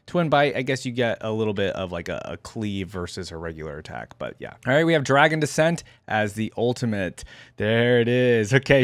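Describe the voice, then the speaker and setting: A man's voice: mid-range voice, dry recording. A man giving casual gaming reaction commentary as a host, conversational and full of asides and questions to viewers.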